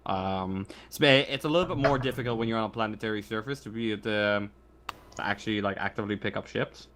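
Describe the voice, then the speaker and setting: A man's voice: on the low side, steady tone, fast talker, fairly bright tone. A man acting as game master, narrating a tabletop game casually with a hesitant, stumbling delivery. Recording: online voice chat with background noise.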